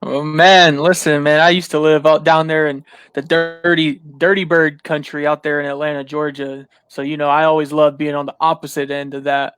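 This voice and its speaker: A man's voice: on the high side, normal speed, few pauses, clean tone, dry recording, clean studio sound. A man talking casually as a podcast guest about football, leaning on fillers like "you know". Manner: relaxed, filler-heavy, says "you know" a lot. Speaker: podcast guest, sports writer. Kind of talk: casual sports chat.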